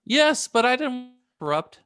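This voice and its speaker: A man's voice: very high voice, big pitch swings, normal speed, bright, forceful tone, clean tone. A man co-hosting a podcast in casual banter, protesting and putting himself down. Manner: self-deprecating, protesting. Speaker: podcast co-host.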